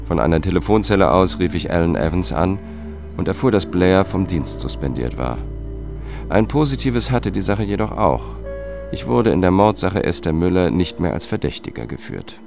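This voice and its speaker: An actor playing a sober, world-weary investigator who tells a political thriller in a dry, measured way for radio. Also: male voice, sits low, expressive, creaky. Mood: matter-of-fact. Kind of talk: scripted first-person narration and dialogue, radio drama. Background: music.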